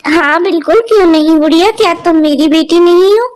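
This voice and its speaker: A woman voicing a kind, motherly sparrow who speaks gently and soothingly. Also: very high-pitched, fairly quick. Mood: comforting.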